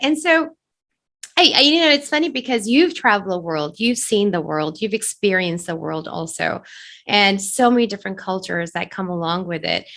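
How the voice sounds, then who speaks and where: Host: woman, mid-pitched, animated and quick to laugh, casual interviewer. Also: gravelly. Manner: animated, laughing easily, eager reactions. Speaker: podcast host.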